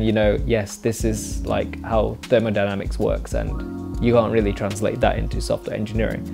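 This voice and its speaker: A man's voice: mid-range voice, gravelly, a little mellow. A young man in his twenties from England, answering viewer questions in a rambling, casual way with lots of fillers and dry jokes, seated on a living-room sofa. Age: 20s. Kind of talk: casual Q&A monologue. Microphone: mic off-camera, seated facing camera.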